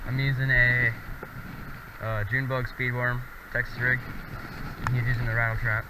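A man's voice: medium pitch, level tone, moderate pace. A young American man chatting casually as a fishing vlogger, recorded outdoors on a small camera. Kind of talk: casual outdoor vlog chat. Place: outdoors beside a pond. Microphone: action camera worn or handheld. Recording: very noisy windy outdoor camera audio.

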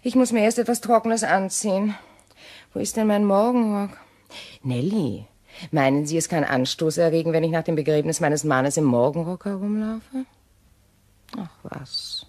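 An actress playing a brisk, bossy new widow with a dry, irreverent manner in a 1950s radio drama. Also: female voice, fairly low pitch, a little mellow, lightly acted. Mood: brisk, irritated. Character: newly widowed shop owner, brisk, cool, irreverent lady. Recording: faintly noisy 1958 radio recording.

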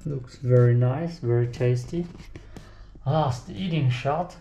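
Young man, German accent, mellow voice, unhurried, echoey kitchen with faint music. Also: mid-range voice, lo-fi sound. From German-speaking country. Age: young adult. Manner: joking, self-talk while working. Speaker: cooking vlogger. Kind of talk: casual cooking vlog. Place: small home kitchen, indoors.

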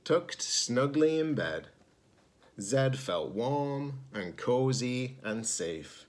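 Slow, animated man reading aloud. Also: mid-range voice. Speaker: teacher-librarian reading aloud. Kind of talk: children's storybook read-aloud. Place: small indoor room at home.

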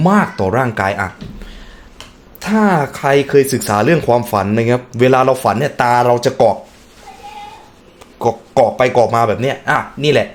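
A man's voice: medium pitch, slight background noise. A man hosting a reaction video, reading text aloud and breaking off into casual musing remarks and hums.

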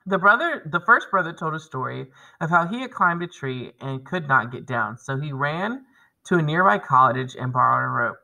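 A man's voice: a little high, expressive, moderate pace, vocal fry, pushed and bright, low-quality recording. A man in his thirties teaching a reading lesson to children, explaining and reading aloud with emphasis. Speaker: elementary school teacher.

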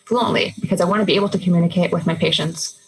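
A woman in her thirties chats casually as an interview guest, a nurse with a fairly low voice. She talks in a relaxed way, dropping in lots of "like" and quick asides. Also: slightly rough, faint music behind, poor audio. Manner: chatty, quick asides with fillers like "like".

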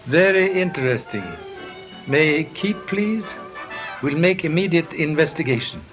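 An actor playing a calm, polite older male detective who speaks in terse, clipped sayings in a 1930s film. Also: a little high, unhurried, highs cut off, music in the background.

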